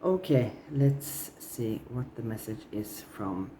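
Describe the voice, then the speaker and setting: A man's voice: medium pitch, lively intonation, takes it slowly. A man giving a tarot reading with a scratchy, strained voice, hesitant and full of fillers and restarts. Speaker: tarot reader.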